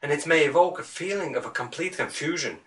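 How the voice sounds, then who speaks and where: A Latvian-accented man teaching English speakers to stop worrying, talking earnestly and firing rhetorical questions at the viewer. His voice is bright and clear. He sits a little back from the microphone. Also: on the high side, expressive, fast talker, recorded from a distance. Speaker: English fluency coach. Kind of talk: motivational video lesson.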